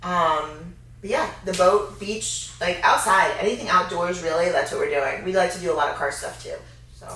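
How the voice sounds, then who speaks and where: A woman in her thirties co-hosting a casual Q&A, chatty and easygoing, quick to laugh. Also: on the low side, pushed and bright, a little hiss or hum. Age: 30s. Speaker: fitness co-host. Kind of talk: casual Q&A chat. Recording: echoey room, mic far off.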